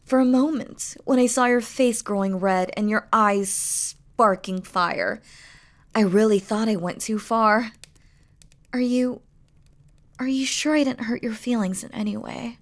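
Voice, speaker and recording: A woman playing a devoted, regal demon queen in a romantic audio roleplay, speaking softly and formally to her lover, often dropping to a whisper. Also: a little high, steady tone, a little slow. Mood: apologetic, concerned. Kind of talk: scripted romantic audio roleplay, spoken intimately to the listener.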